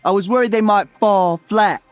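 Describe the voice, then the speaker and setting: A man's voice: high voice, normal speed, dry recording, soft background music, natural voice. A man voicing an upbeat, playful young celebrity DJ hero in a video game, joking casually. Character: upbeat young male Brazilian celebrity DJ and freedom fighter.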